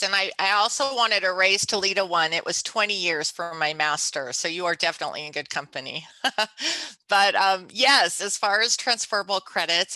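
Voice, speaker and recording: Bright-voiced woman answering admissions questions. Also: on the low side, no echo, rough recording. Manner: chatty, many fillers and restarts. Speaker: university admissions staff. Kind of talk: webinar Q&A answer.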